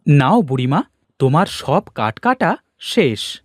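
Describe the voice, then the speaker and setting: Animated, obliging village lad acted by a man. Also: fairly high pitch, takes it slowly, no room sound, studio quality, exaggerated voice. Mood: kindly protesting. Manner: obliging, expressive. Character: polite, helpful, hardworking village young man.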